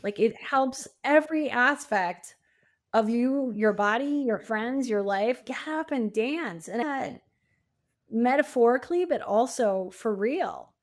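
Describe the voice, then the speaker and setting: A woman in her fifties answering as an interview guest, talking conversationally in an animated, encouraging and emphatic way. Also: on the high side, slow pace. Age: 50s. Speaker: podcast guest, coach and speaker.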